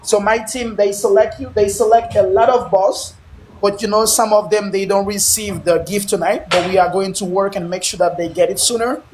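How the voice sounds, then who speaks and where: A man chatting loudly on a live video stream, laughing often and switching between English, Spanish and French. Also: high-pitched, fairly even intonation, normal speed, slight background noise. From Haiti. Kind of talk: boisterous live-stream chat.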